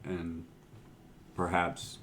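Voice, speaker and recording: Low-voiced man, casual podcast talk. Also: completely flat delivery, very slow, a bit bright, slight background noise. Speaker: podcast co-host. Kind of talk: casual movie discussion chat.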